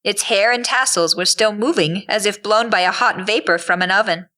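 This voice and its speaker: A woman's voice: medium pitch, normal speed, bright, forceful tone. A woman narrating an audio drama in a scripted storybook style.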